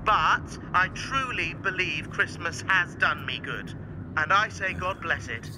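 An earnest, cheerful nephew in an audio drama. The voice is high for a man and lively in pitch, pleading, with clear street and car noise behind. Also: measured pace. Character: cheerful, earnest nephew who loves Christmas. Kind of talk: modern audio drama acting.

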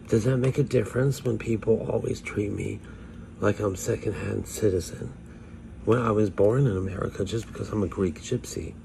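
A man's voice: lowish voice, vocal fry, background noise. A man on a phone camera playing a struggling homeless fortune-teller woman, talking in a pleading, plaintive way. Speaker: vlogger in character. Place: small room indoors. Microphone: phone at arm's length.

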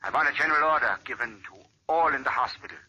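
An actor playing a cold, secretive older surgeon in 1940s radio acting style, commanding and sinister. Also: male voice, medium pitch, lively intonation, very fast, pushed and bright, highs cut off. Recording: vintage radio recording with faint hiss.

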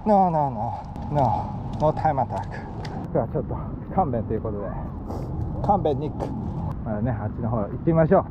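A man's voice: medium pitch, lively intonation, brisk, lo-fi sound. A man in his thirties chatting casually as he vlogs on a walk through a rainy park, dropping English phrases into his talk, recorded on a handheld action camera. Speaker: vlogger. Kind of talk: casual travel vlog narration. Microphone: action camera held at arm's length. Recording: loud outdoor wind noise. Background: rain, wind and traffic noise.

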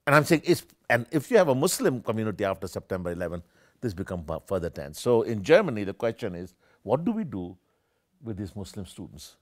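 An older man, a professor, giving an animated and discursive academic lecture with many self-corrections, seated in a small room with armchairs. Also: medium pitch, no room sound, clean studio sound. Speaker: keynote speaker, professor.

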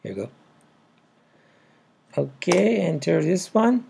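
A man with a non-native English accent narrates a casual step-by-step tutorial, with halting, broken phrasing. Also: high voice, very animated, vocal fry, warm tone, a little hiss or hum. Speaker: programming tutorial presenter. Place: indoors at a computer.

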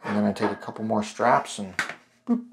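A man hosting a hands-on darkroom printing tutorial, talking through each step in a relaxed way with fillers and restarts. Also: fairly low pitch, brisk, noisy background. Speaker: photography channel host. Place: home darkroom in a laundry room.

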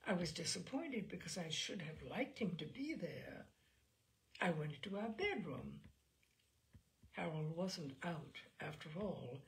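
A woman's voice: fairly low pitch, big pitch swings, a little slow. A woman performing a tormented, bitter wife making a confession in a dramatic, intense storytelling manner. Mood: quiet recollection. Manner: dramatic, intense, hushed then rising to cries.

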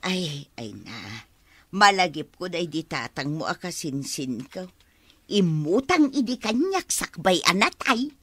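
A woman playing a chatty, wheedling older village aunt in an Ilocano comedy radio drama, coaxing with drawn-out exclamations. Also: on the low side, very animated, fairly bright tone, breathy edge, no room sound, exaggerated voice.

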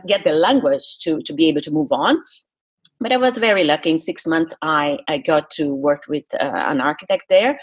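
Husky, low-voiced Brazilian woman. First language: Portuguese. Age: middle-aged. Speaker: podcast guest, speaker and coach. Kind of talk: conversational interview storytelling.

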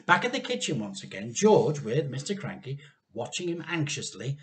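A middle-aged man narrating a children's storybook aloud in an expressive style. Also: mid-range voice, normal speed. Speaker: teacher reading a storybook aloud. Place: home living room.

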